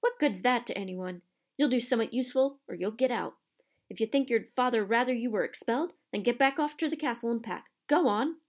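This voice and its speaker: A woman voicing a gruff, burly male gamekeeper in an audiobook, growling in rustic dialect with dropped word endings. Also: on the high side, fairly quick, a little mellow. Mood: fierce, scolding. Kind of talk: audiobook character voice.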